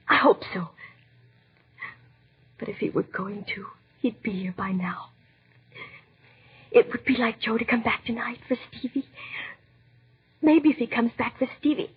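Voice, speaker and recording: An actress playing an anguished, forgiving young wife and mother in 1940s radio drama, husky and breathy. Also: on the high side, normal speed, long pauses. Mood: tearful, yearning. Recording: muffled 1940s radio recording.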